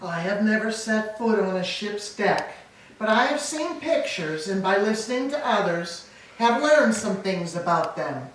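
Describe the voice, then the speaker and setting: A woman's voice: medium pitch, animated, unhurried, close to an everyday voice. A woman playing a grieving, stoic old queen and grandmother in a staged reading of Greek tragedy, with formal, lamenting delivery. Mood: weary, counselling. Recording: echoey hall, distant mic, faint noise.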